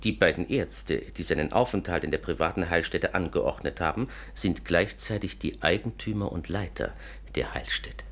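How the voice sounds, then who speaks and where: A worried polite inventor, low voice, hesitant and breathy, old german radio drama. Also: male voice, normal speed. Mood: earnest, troubled. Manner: formal, hesitant, repeats a pet phrase. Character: courteous, anxious, hesitant businessman inventor, repetitive and earnest. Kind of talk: 1950s German radio play acting.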